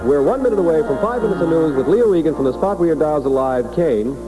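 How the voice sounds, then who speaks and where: A man's voice: fairly high pitch, expressive, quick pace, over music, low-quality recording. A man hosting a radio show in a casual, wryly self-mocking comic announcing style, on an old 1960s AM broadcast. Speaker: radio host.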